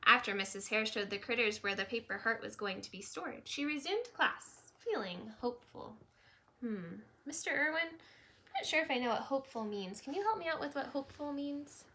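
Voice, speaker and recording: A woman reading a storybook aloud for children, with chatty, simple asides and wondering out loud. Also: on the high side, expressive, moderate pace. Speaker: storybook reader, teacher.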